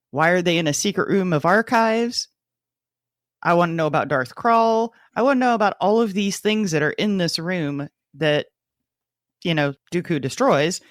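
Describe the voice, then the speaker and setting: A woman's voice: medium pitch, no room sound, studio quality. A woman co-hosting a casual book club podcast, speaking in an inquisitive, emphatic way. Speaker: podcast co-host.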